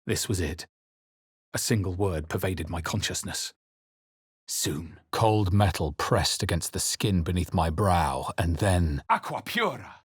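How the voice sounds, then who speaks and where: Brief: wry, haunted fantasy hero for a video game, slightly low husky voice, dramatic storytelling delivery. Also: male voice, expressive, measured pace, no echo, studio quality, exaggerated voice. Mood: forceful incantation. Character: male adventurer hero, wry, haunted, narrating his journey. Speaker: video game player character voice. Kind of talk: dramatic game voice lines and narration.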